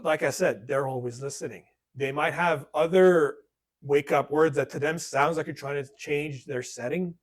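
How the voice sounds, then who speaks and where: A man's voice: on the high side, normal speed. A man teaching a casual class on online safety, chatting with jokes and acting out dialogue. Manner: conversational, joking asides, acts out dialogue. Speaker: workshop instructor. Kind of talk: informal classroom lesson.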